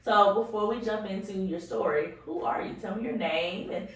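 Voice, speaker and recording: A woman's voice: medium pitch, moderate pace, slight background noise. An effusive woman hosts an interview, full of praise and eager questions. She sits a little away from the mic. The office around her rings with heavy echo. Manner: enthusiastic, effusive. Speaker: host. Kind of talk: upbeat interview chat. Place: office room. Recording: echoey room, distant mic.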